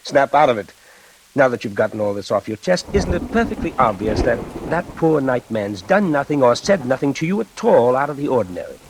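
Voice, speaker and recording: An actor playing a patient, reassuring and authoritative prison warden in an old-time radio drama, with a measured, avuncular manner. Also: male voice, mid-range voice, very animated, background noise. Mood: reassuring, brisk.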